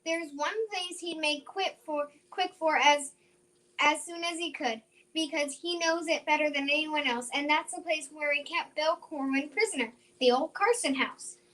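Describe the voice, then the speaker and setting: A woman's voice: sits high, normal speed, bright, forceful tone. A woman playing a plucky, sure-minded young country girl in old-time radio drama style, eager and emphatic. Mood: confident. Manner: eager, emphatic, repeats phrases.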